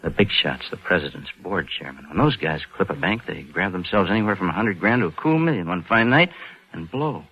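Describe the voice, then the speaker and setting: An American actor playing a scheming, slangy bank clerk in a hard-boiled 1940s radio crime drama, both speaking and narrating. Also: male voice, low voice, expressive, a little fast, husky, full character voice. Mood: urgent persuasion. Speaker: lead actor and first-person narrator. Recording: dull vintage 1940s radio recording with music.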